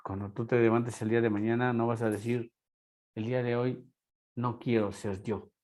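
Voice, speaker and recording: A man's voice: mid-range voice, level tone, moderate pace, darkish tone. A man with a Mexican accent, lecturing a class and asking rhetorical questions. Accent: Mexican Spanish. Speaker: teacher.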